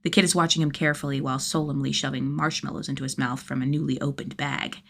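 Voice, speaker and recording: A woman reading scripted fiction as an audiobook-style narrator, with expressive delivery. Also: a bass voice, fast talker, creaky. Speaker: podfic narrator.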